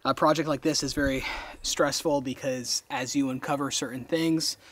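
A man's voice: mid-range voice, fairly even intonation, normal speed. A man talking casually to camera at an outdoor building site, thinking aloud and asking viewers questions, recorded on a handheld camera mic. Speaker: vlogger renovating a stone cabin. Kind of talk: casual vlog narration to camera.

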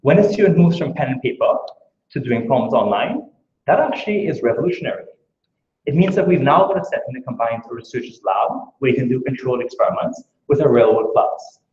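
A man with a Trinidadian accent gives an academic talk at a rapid clip, posing questions to his audience as he explains. His voice sits in a middle register. The room is echoey and the recording sounds somewhat muffled. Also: mid-range voice, very animated, darkish tone. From Trinidad and Tobago. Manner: brisk, earnest, explaining with rhetorical questions. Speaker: academic speaker. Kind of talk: conference talk. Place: lecture room.

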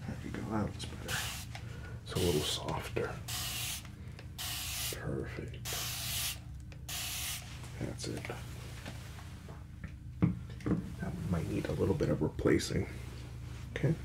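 Hushed, deep-voiced ASMR man with vocal fry. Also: expressive, heavy background noise. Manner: hushed, gentle, soothing, slow and reassuring. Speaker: ASMR performer playing a hairdresser. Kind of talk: ASMR roleplay, soft-spoken. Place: small indoor room with salon products.

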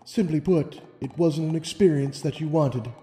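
A man voicing a gracious, benevolent female winged unicorn princess with measured authority. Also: on the high side, dark tone, pitched above the performer's own voice, lightly acted. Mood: serene. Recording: long hall reverb over music.

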